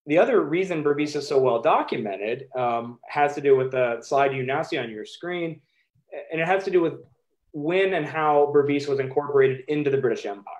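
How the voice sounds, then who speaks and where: A man in his thirties with an American accent, giving a measured, emphatic academic talk over a video call, with occasional ums. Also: on the high side. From United States. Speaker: historian presenting his book.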